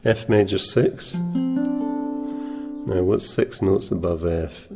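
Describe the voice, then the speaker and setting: Slow, deep soft-spoken male guitar teacher. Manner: soft-spoken, unhurried, with many ums and uhs. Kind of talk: relaxed spoken guitar lesson. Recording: reverberant room with guitar playing.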